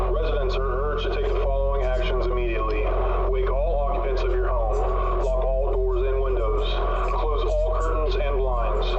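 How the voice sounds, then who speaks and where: Male actor as official alert announcer for horror video. Impersonal, measured, muffled and roomy over music. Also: medium pitch, far from the mic, close to an everyday voice. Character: male emergency broadcast announcer, grave and official. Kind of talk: scripted emergency alert bulletin. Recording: muffled, echoey broadcast under loud music.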